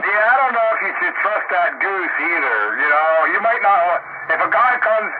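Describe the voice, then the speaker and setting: A man's voice: sits high, animated, moderate pace. An older man chatting casually over the radio in a blunt, folksy way. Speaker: amateur radio enthusiast on a round-table net. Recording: tinny narrowband radio link, loud static.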